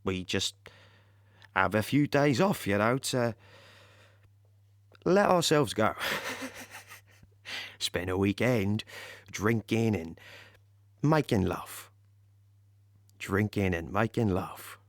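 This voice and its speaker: Cheeky English wartime lorry driver, animated pitch, rambling colloquial monologue, dry close sound. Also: male voice, takes it slowly, pauses often, no room sound. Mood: wistful, amused. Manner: chatty, colloquial, rambling, repeats himself. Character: cheeky, proud British army supply lorry driver. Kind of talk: first-person dramatic monologue in audio play.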